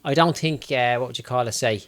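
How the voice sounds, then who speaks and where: A young man with a Dublin accent hosting a casual interview, chatty and quick to laugh, with the odd swear word. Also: medium pitch, a bit bright, dry recording. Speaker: podcast host.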